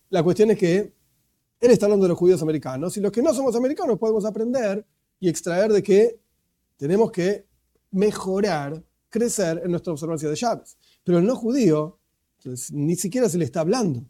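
A man delivers a religious lesson in an explanatory, emphatic way, often asking and then answering his own questions. His voice is high for a man yet very dark and mellow in tone. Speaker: religious teacher. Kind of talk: religious lecture.

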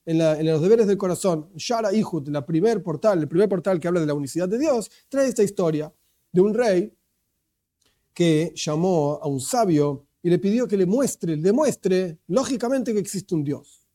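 A man delivers a religious lesson in an explanatory, emphatic way, often asking and then answering his own questions. His voice is high for a man yet very dark and mellow in tone. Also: lively intonation, moderate pace. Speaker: religious teacher. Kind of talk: religious lecture.

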